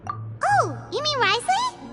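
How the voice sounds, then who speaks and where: Super high squeaky little fairy sidekick, chatty n excitable, bouncy pitch, game music behind. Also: female voice, slow, full character voice. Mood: curious, chatty. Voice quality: squeaky, childlike. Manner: refers to self in third person, exclamatory. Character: small childlike female fairy companion, chatty, excitable, curious. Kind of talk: video game voice acting.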